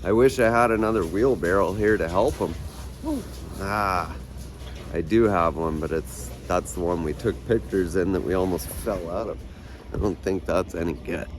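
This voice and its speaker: A man with a hoarse, raspy voice chatting casually in a vlog about his shop, rambling and trailing off with fillers. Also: deep voice, normal speed, noisy background. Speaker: vlogger. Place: garage shop, partly outdoors.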